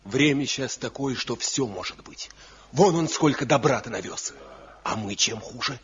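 An actor playing a shrewd, pragmatic village craftsman in a Soviet radio play, husky and folksy. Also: mid-range voice, lively intonation, normal speed. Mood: shrewd, brisk. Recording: dull, muffled 1970 radio play recording.

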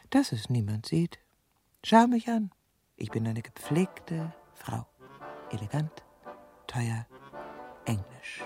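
An actress playing a bitter, jealous middle-aged woman with secret murderous spite in a radio drama, speaking in a low, gravelly, often whispery voice with clipped, venomous control. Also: darkish tone, exaggerated voice. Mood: cold hatred. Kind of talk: intimate radio drama monologue and dialogue.

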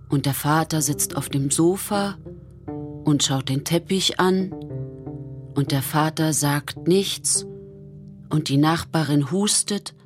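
An actress playing a deadpan storyteller who lists domestic details deliberately in a radio play. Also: female voice, deep voice. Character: deadpan female teller of repetitive domestic vignette. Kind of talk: literary radio play narration.

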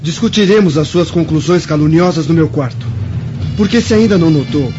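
An actor playing a protective, indignant brother in a radio melodrama, formal and righteous. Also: male voice, fairly high pitch, a little fast, close to an everyday voice. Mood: indignant, dignified. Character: honourable, protective brother, dignified and indignant Roman. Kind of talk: melodramatic radio drama acting. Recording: dull echoey radio drama, music behind.

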